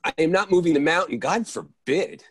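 A male actor performs an exasperated, fussy man in an absurdist stage play. His husky voice swings up and down as he repeats himself, halting and flustered. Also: on the high side, lively intonation, a bit bright, no room sound. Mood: incredulous. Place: indoors on a video call.

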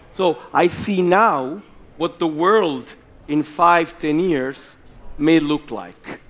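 A man with an Italian accent speaks slowly and deliberately from a conference stage, his voice sitting a little high. He leans on his words with emphatic, animated bursts. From Italy. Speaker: investor and stage host. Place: large conference hall with audience.

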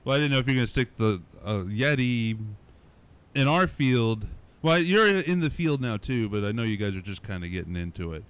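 Smooth-voiced man on a podcast call. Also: mid-range voice, expressive, moderate pace. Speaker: podcast co-host. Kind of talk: casual chat. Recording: dull lo-fi online call audio.

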